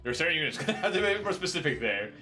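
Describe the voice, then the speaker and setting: Bright, animated man doing chatty game commentary over soft in-game music. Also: fairly high pitch, racing. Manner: chatty, laughing, reads game text aloud. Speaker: let's play host. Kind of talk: casual gameplay commentary.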